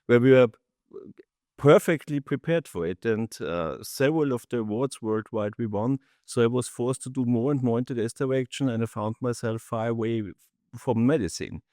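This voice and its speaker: A middle-aged man with an Austrian accent, a native German speaker, answering as a founder in an interview with halting non-native phrasing. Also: mid-range voice, darkish tone, dry recording, studio quality. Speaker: company founder, interview guest. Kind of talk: interview answers, recounting own career.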